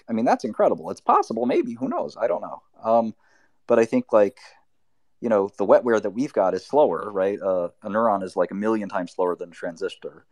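A man's voice: lowish voice, darkish tone, no room sound, studio quality. A man from Albania hosting a live audio chat, talking loosely with many fillers and restarts. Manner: rambling, lots of fillers and restarts. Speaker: host.

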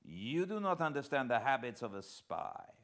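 A man's voice: medium pitch, very animated, moderate pace, a bit bright, voice pushed up. A man voicing a grave, decisive French military man, formal and emphatic, in a storybook reading. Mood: urgent warning. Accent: British.

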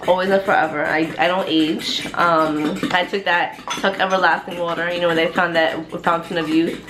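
A woman's voice: fairly low pitch, little pitch movement, normal speed. Playful young woman vlogging in her kitchen. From United States. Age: 20s. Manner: playful, teasing, mixes in Spanish words. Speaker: vlogger, stay-at-home mom. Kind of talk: casual family vlog chat. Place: home kitchen, indoors. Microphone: handheld camera at arm's length. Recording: echoey room, clear background noise.

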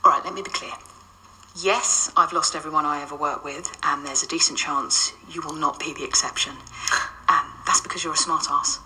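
An actress in a radio drama playing a brusque, wry, sardonic ghost agent with a dry, impatient manner. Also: female voice, deep voice, fairly even intonation, brisk, bright, forceful tone, husky, far from the mic, heavily acted, poor audio. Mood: exasperated.

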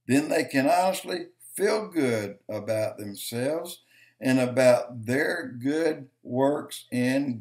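Slow, emphatic older man preaching. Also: medium pitch, darkish tone. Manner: slow, emphatic, lists points and repeats for stress. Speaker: video commentator. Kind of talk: preaching-style commentary to camera. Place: home office, indoors.

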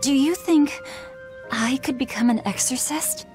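A woman voicing an earnest, spirited teenage village huntress in a video game, expressive in her delivery. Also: high-pitched, unhurried. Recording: music under the dialogue.

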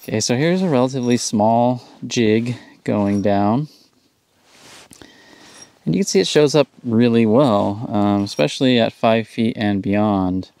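A man in his thirties on a frozen lake outdoors, explaining fishing electronics in a relaxed, casual demonstration. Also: mid-range voice, a little slow, no echo. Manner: explanatory, relaxed, upbeat when catching fish. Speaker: fishing channel host.

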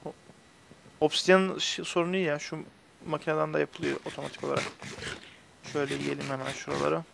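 Tiz sesli genç erkek oyun sunucusu. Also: male voice, on the high side, flat delivery, fast, vocal fry, noisy background. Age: young adult. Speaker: host of a gaming video channel. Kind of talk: casual let's-play commentary with friends. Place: indoors at a computer, webcam shown.

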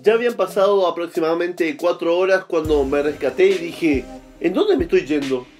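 A young Argentine man with a high-pitched voice tells a comic story to camera. He is theatrical and mock-dramatic, peppering it with crude slang, while faint music plays behind him. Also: fairly quick, a little mellow. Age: young adult. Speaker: comedy vlogger. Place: small room, talking to camera.

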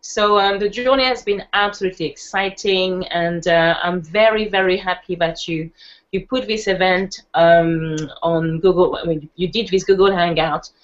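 A woman's voice: lowish voice, fairly even intonation, moderate pace. A woman with a French accent giving a casual online presentation, chatty with lots of fillers and restarts. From France. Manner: chatty, laughs easily, many fillers and restarts. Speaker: webinar presenter. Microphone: video call. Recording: muffled online call audio.

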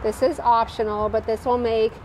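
A woman chef demonstrating a recipe at an outdoor kitchen, explaining each step in a relaxed way with a few fillers. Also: a little high, moderate pace. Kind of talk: cooking demonstration. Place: outdoor kitchen showroom patio. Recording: noisy outdoor recording.

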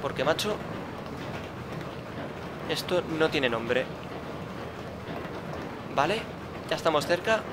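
A man's voice: on the high side, animated, slow pace, pauses often, background noise. A man commenting his own gameplay, muttering, grumbling and swearing at the game. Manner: frustrated, swearing, muttering to himself. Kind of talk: let's play commentary.

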